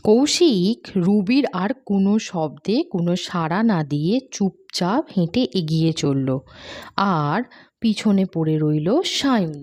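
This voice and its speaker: A woman's voice: on the low side, expressive, a little slow, a little mellow, dry recording. A woman narrating a scripted cartoon story in a dramatic storytelling manner.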